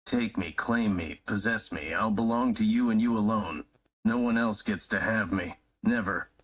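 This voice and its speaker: A man voicing a devoted young lover who gives himself up completely, speaking low in slow, breathy, dramatic declarations. The sound is dull and lo-fi, like stream audio. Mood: yielding. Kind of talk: live-streamed romantic roleplay reading.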